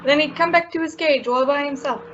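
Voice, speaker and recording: Muffled radio comedy-drama casting: woman as a chatty, simple cab driver, high voice, folksy and earnest. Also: fairly quick, fairly bright tone. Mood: earnest, wistful. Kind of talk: old-time radio drama acting. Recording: muffled audio with faint background noise.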